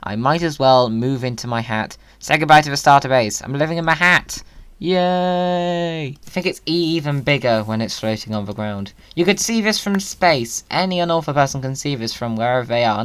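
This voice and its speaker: A gaming video host chats away in a medium-pitched voice, recorded close and dry. The delivery is playful, whimsical and excitable, like someone happily showing viewers around a game. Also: moderate pace, clear, resonant tone, no room sound.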